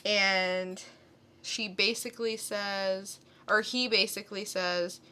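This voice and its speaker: A woman with a bright, clear-cut voice recaps a story on a podcast. She takes her time, conversational and a little halting. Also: mid-range voice, fairly even intonation. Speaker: podcast co-host. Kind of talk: casual comic plot recap.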